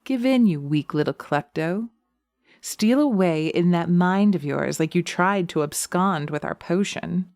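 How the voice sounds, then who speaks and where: A woman's voice: on the low side, unhurried, darkish tone, dry recording, studio quality. A woman voicing a scornful, domineering witch who taunts and commands in a hypnosis roleplay audio. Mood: taunting, commanding.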